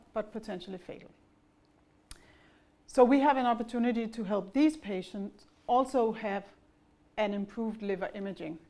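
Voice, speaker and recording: A woman's voice: medium pitch, a little mellow. Danish-accented woman presenting to investors. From Denmark. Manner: measured and explanatory, with occasional fillers and restarts. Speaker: company executive presenting.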